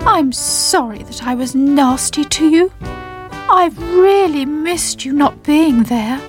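Woman putting on a gruff male kitchen object. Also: high voice, animated, slow, soft background music. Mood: apologetic.

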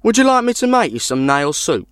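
A man's voice: fairly high pitch, big pitch swings, fairly quick, fairly bright tone, smooth, dry recording, clean studio sound, voice pushed up. A man voicing a sly, hungry traveller in a folk tale, a persuasive trickster who coaxes. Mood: boastful coaxing. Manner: coaxing, persuasive.

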